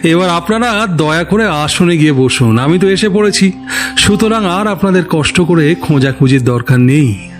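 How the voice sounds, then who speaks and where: A man's voice: a little high, animated, normal speed, roomy sound, big-room sound, close to an everyday voice. A man acting a boastful, commanding stage magician in a theatrical, declamatory way for an audio drama. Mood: amused, teasing. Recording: background music.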